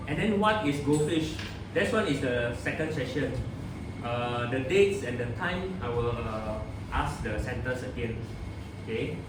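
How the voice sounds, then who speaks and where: A man with a Singaporean accent presenting to a group at a community activity center, speaking haltingly with many fillers and checking in with "okay?". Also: mid-range voice, lively intonation. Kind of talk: informal talk to a seated group. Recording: distant roomy noisy room recording.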